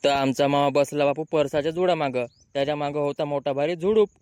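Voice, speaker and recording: A man's voice: a little high, fast, darkish tone, clear, resonant tone, no echo, studio quality, voice pushed down, close to an everyday voice. A man voicing a young village lad in a comedy cartoon, telling silly mishaps in an earthy rural Maharashtrian accent. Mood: comic storytelling.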